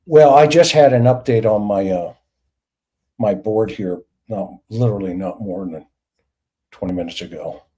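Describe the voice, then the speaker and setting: Man, host, mellow lowish voice, distracted, apologetic. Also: moderate pace, slightly rough. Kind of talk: live show, fixing technical problems. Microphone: headset.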